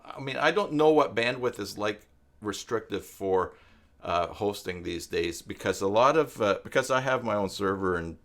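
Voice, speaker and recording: A man's voice: fairly low pitch, expressive, moderate pace, a bit bright. A man hosting a casual live web tutorial, thinking aloud and rambling with hesitant restarts as he answers viewers. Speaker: live stream host giving web tutorials.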